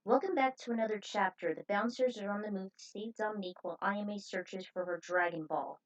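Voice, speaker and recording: A woman's voice: mid-range voice, brisk. A woman casually narrating fan fiction aloud as a host, in an informal, chatty way, on a muffled recording. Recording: dull lo-fi recording.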